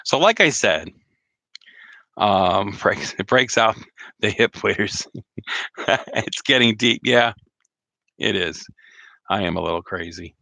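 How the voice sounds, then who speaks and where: A slightly hoarse man chatting casually on a live stream, rambling with many fillers and restarts. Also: on the low side, animated, slow, pauses often, sharp tone, dry recording. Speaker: RV vlogger hosting a live chat.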